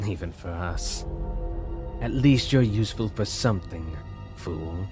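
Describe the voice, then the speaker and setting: Man voicing a menacing villain, lowish pitch, condescending, curt, cold, audio drama with background music. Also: lively intonation, unhurried. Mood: satisfied, cool, then contemptuous.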